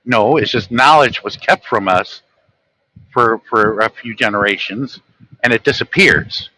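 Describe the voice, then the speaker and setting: A man's voice: on the low side, a little slow, sharp tone, dry recording, low-quality recording. A man from the United States hosting a livestream, talking earnestly to his viewers as he reads from his own notes. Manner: earnest, lecturing, addresses viewers directly. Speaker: host of a livestream channel. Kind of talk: livestream monologue, reading from notes.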